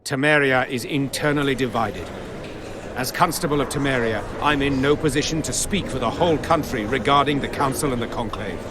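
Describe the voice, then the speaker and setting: A proud soldier and constable from a fantasy game , acted by a man. He speaks in formal, measured oratory at a middling pitch. Noticeable ambient noise sits behind the voice. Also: lightly acted. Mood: grave, formal. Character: proud plain-spoken soldier, constable of a war-torn fantasy kingdom. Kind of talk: formal dramatic game acting.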